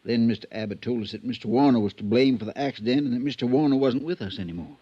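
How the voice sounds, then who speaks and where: A man's voice: mid-range voice, quick pace, husky, lightly acted. A man playing a loyal, worried stable hand in a 1950s radio drama, speaking in a folksy, hesitant, respectful way. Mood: respectful, uneasy. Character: deferential, plain-spoken male stable hand, loyal and worried. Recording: dull, muffled vintage radio recording.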